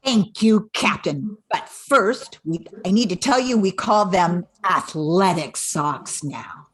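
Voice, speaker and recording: A woman's voice: on the low side, animated, takes it slowly, husky, full character voice. A woman playing a confident, can-do detective in a radio play, speaking in an assured, businesslike way. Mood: dry, correcting. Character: confident, brisk, can-do female detective agent.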